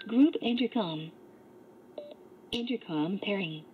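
Female headset voice prompt: slow, clipped announcements, dull small-speaker sound. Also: mid-range voice, animated. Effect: small device speaker. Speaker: device voice prompt. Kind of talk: announcing short menu prompts. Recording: muffled lo-fi playback from small headset speaker, noisy.

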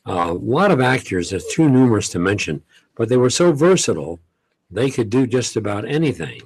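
An older man, a longtime radio host, answering questions in a relaxed, unhurried way in a radio studio. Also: medium pitch, slightly rough, dry recording, poor audio. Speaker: veteran radio host guest. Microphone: studio mic with headphones.

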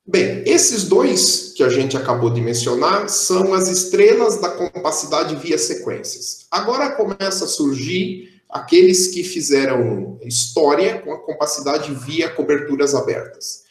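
A man's voice: on the high side, unhurried, few pauses, reverberant. Young man lecturing on a video call. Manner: explanatory, steady, with frequent "é" fillers. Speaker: math lecturer. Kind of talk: online math lecture. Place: indoor room, on a video call.

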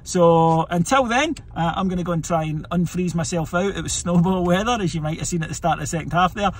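A man's voice: sits high, quick pace, husky. A man in his thirties hosting a football podcast, giving a chatty post-match reaction from inside a parked car, filmed on a phone held close. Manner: chatty, animated, plain-spoken. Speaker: podcast host. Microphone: phone camera held close in the car.

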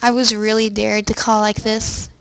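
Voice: mid-range voice, monotone, moderate pace, gravelly, no room sound, poor audio. A young adult with a crude, sweary way of talking, chatting casually and making prank phone calls. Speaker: prank caller. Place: indoors at a computer.

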